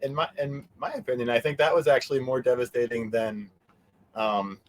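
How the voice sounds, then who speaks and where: A man's voice: mid-range voice, steady tone, fairly quick. A man as an interview guest in casual fan chat, joking and cutting into his own rambling sentences. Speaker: interview guest, convention organizer.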